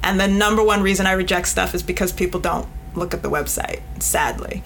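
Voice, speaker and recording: A woman's voice: lowish voice, a little fast, vocal fry, a bit bright, slight background noise. A woman speaking frankly and emphatically as an editor being interviewed, joking and acting out examples as she explains. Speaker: magazine editor, podcast guest. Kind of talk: animated panel interview talk.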